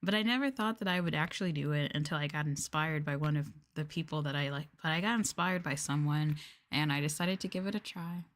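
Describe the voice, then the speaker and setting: A woman's voice: sits low, a little fast. A woman streamer chatting casually on an ASMR livestream, playful, giggly and a bit hesitant, close to the mic. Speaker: VTuber streamer.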